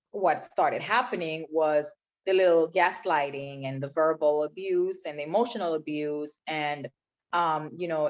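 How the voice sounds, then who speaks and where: A woman from Jamaica, a podcast guest telling her own story in an earnest, reflective way. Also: deep voice. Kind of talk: personal testimony in interview. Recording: dull lo-fi sound.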